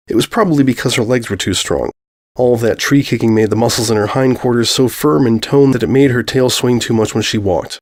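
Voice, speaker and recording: A man narrates a humorous story from a script. His voice sits at an ordinary middle pitch, and he delivers the prose as a storyteller would.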